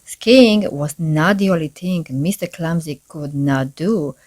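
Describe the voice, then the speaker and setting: A woman reading a children's story aloud in an expressive, deliberate storybook manner. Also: low voice.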